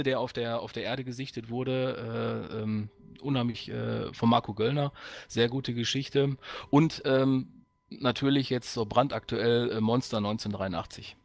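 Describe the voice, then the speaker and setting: A middle-aged man in a casual podcast chat, speaking haltingly with many hesitation sounds. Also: mid-range voice, gravelly. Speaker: audio drama sound editor.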